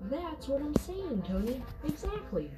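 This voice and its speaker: A woman acts a self-affirming, dreamy New Age lady. She gushes in a high, animated voice, with clear echo and music around her. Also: unhurried. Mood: gushing. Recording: echoey room with music.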